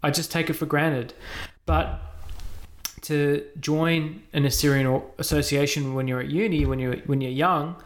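Host, man, late 30s, fairly high voice, easygoing questioner. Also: steady tone. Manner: prompts with quick questions and short affirmations. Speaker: interviewer. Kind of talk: casual interview.

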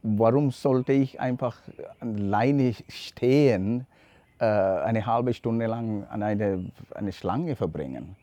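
A man co-hosting a casual podcast, speaking haltingly with many pauses and restarts, recorded outdoors in a garden. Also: on the low side, warm tone, no room sound. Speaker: podcast co-host. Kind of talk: casual chat.

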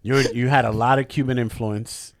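A man's voice: medium pitch, fairly even intonation, moderate pace, dry recording. A man hosting a casual podcast, joking and swearing as he slips between English and Spanish. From born in New York, raised in Puerto Rico. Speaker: podcast host. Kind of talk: casual bilingual podcast chat.

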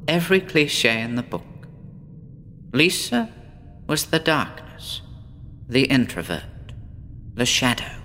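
Male actor as despondent woman patient, brooding horror-drama monologue, deliberate theatrical delivery, long reverb, faint ambience. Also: medium pitch, fairly bright tone, a little hiss or hum. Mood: bleak, brooding. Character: despondent, withdrawn woman patient with a split personality.

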